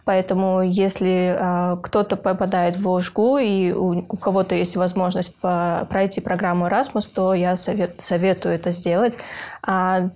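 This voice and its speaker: A woman's voice: on the low side, flat delivery, moderate pace, darkish tone. Young woman student, earnest and hesitant. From Kyrgyzstan. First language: Russian. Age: young adult. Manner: earnest, with hesitant filler sounds and restarts. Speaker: university student. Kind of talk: interview for a university news feature.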